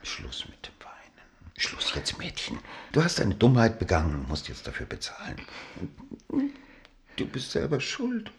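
An actor playing a worldly, cynical gentleman seducer who narrates his own affair in a radio drama, moving theatrically between dry telling and urgent speech. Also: mid-range voice, huge pitch swings, unhurried, whispered. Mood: hushed, firm. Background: music.

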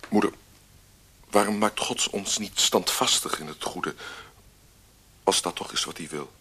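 A man's voice: deep voice, animated, measured pace, sharp tone, slightly rough, heavily acted. A man playing an earnest, anxious boy in a radio play. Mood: troubled, fearful. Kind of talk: radio drama acting.

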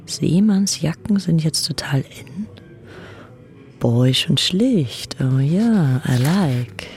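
An actress playing a dreamy, fashion-mad young society woman, breathy and mixing in English as she reads magazines in an audio drama. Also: sits low, animated, very slow, a little mellow, soft background music, full character voice. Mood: dreamy, admiring. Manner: breathy, drifting between German and English.

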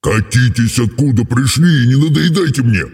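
A man voicing a dragon in a storybook, with a hoarse, rumbling growl and a slow, emotional, drawn-out delivery. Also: darkish tone. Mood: angry roaring. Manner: slow, drawling, bellowing and sobbing delivery. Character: grumpy, sullen old-fashioned dragon, secretly lonely and sentimental.

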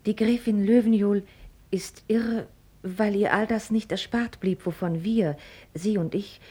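An actress in a German radio play playing a sharp-tongued, witty young heiress with ornate, mocking phrasing. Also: female voice, medium pitch, steady tone, unhurried, breathy edge. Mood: grave reproach. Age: young adult.

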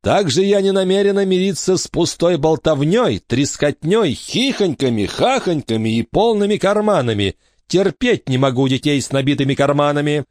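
A man's voice: on the high side, animated, moderate pace, a little mellow, no room sound, clean studio sound, voice pushed up, natural voice. A man voicing a stern, pompous middle-aged lady who lectures children with fussy disdain. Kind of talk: audiobook character voice.

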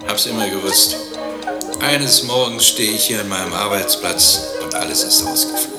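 Disgruntled workman for radio play: lowish, swooping, grumbling, roomy sound. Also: huge pitch swings, normal speed, over loud music. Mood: grumbling, resigned. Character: disgruntled male workman with electric saw. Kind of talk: radio drama acting.

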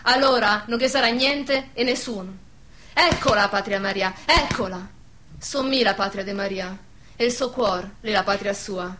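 A woman's voice: mid-range voice, moderate pace, sharp tone, slight background noise, natural voice. A woman playing a defiant, sceptical village woman in a wartime radio drama, speaking bluntly and emphatically with a southern Lazio dialect accent. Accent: central Italian, southern Lazio dialect. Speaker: radio play actress.

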